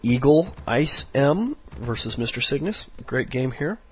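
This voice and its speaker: A hoarse, sniffly man with a raspy voice, rambling through casual strategy commentary with lots of fillers. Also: medium pitch, lively intonation, a little slow. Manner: rambling, many fillers, instructional. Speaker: game commentator.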